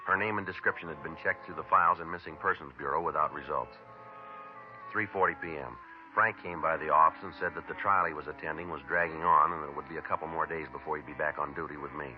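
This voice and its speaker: An actor playing a calm, methodical police detective who questions a suspect and narrates his log in clipped sentences, in a 1950s radio drama. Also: very deep voice, fast. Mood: narrating. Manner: clipped, matter-of-fact narration. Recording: dull old radio broadcast with music.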